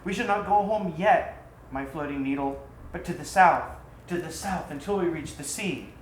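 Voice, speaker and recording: A man voicing a proud, secretive, shrewd desert merchant in a storybook tale, musing solemnly and deliberately. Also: a little high, voice pushed down, lightly acted. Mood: resolute. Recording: roomy echo, faint background noise.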